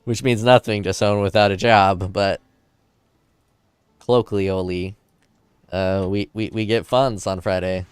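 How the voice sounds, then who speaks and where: Laid-back deep-voiced male streamer. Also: steady tone, measured pace, pauses often, clean tone, no echo. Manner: laid-back, rambling, joking. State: a little drunk. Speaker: game streamer. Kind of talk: casual livestream chat, reading chat aloud. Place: home desk. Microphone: desk mic in front of keyboard.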